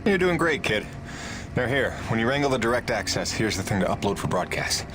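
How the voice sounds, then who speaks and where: A man playing a steady, weary rig pilot who leads a rebellion in a game cutscene, plain-spoken and reassuring. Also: medium pitch, a little fast, lightly acted. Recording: loud music behind the voice.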